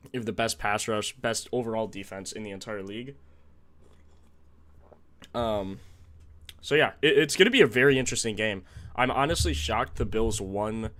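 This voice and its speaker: A young man with a slightly raspy, sore-throated voice, hosting a casual live stream, thinking aloud and greeting viewers in the chat. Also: lowish voice, pushed and bright. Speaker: sports betting stream host.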